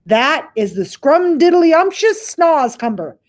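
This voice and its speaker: A woman's voice: sits high, sing-song, slow pace, voice pushed up, full character voice. Sweet old friendly giant, coaxing, bouncy voice, woman doing the voice. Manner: earnest, coaxing, mangled grammar. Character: kindly old male giant, gentle and coaxing.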